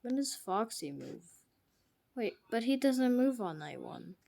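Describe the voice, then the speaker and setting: A woman giving jokey gameplay commentary, trash-talking the game characters and swinging from hushed mutters to sudden loud outbursts. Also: fairly high pitch, big pitch swings, measured pace, gravelly. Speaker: gamer.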